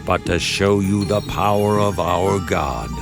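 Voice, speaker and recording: An actor playing a grave prophet of God with a gravelly voice, solemn and measured, in a children's Bible drama. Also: male voice, low-pitched. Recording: loud music behind the voice. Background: music.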